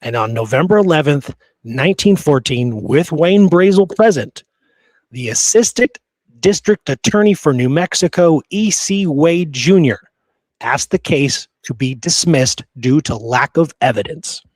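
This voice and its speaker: A man's voice: a little high, animated, measured pace, darkish tone, no echo, low-quality recording. A man hosting a history podcast in a conversational way, earnest and repeating phrases for emphasis, close on the microphone. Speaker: podcast host.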